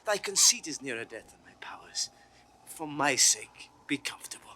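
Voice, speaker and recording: An actor playing a devoted, protective young master, earnest and urgent, in a 1960s classical Shakespeare audio drama. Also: male voice, fairly high pitch, sing-song, normal speed, bright, forceful tone, exaggerated voice. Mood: tender urgency.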